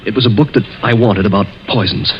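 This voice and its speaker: A man's voice: lowish voice, fast talker, lightly acted. An actor playing a nerve-racked young man hunted by his stepfather, tense and dramatic in the 1940s radio style. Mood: tense, bitter. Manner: tense, agitated, dramatic delivery. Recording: dull, noisy old 1940s radio recording.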